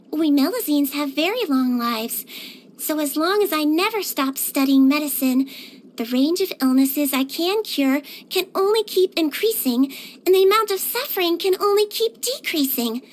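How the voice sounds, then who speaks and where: A woman voicing a small, cute non-human girl nurse from a video game, speaking in a sweet, gentle, childlike and earnest way. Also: high-pitched. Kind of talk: scripted video game character voice lines.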